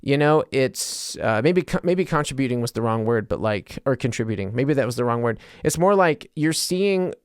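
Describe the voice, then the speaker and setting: American male podcast host, mid-pitched, smooth clean voice, loose self-interrupting delivery, dry close sound. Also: lively intonation, moderate pace, hardly any pauses, dry recording. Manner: self-interrupting, trails into tangents. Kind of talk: casual, rambling solo podcast talk.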